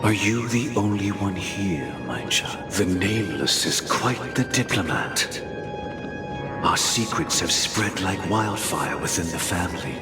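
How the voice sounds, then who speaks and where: A calculating old master in a game cutscene, paternal and solemn. His very deep voice moves slowly and echoes beneath loud music. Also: animated. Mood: grave, probing. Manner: measured, paternal, grave. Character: solemn, calculating older male master of a religious Order. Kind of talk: dramatic game voice acting. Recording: echoing voice under loud music.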